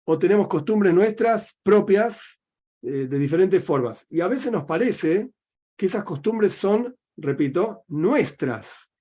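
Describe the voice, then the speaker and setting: A middle-aged Argentine man teaching as a rabbi, in a voice pitched a little high for a man. He works through his points by putting questions to himself and answering them, in an unhurried teaching manner. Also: lively intonation, dark tone. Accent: Argentine Spanish. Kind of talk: religious teaching talk.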